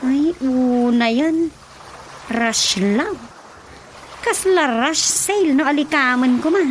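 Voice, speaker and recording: A coy startled young ilocano gal, super high and slow, radio drama comedy. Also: female voice. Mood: doubtful. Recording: noisy radio drama track.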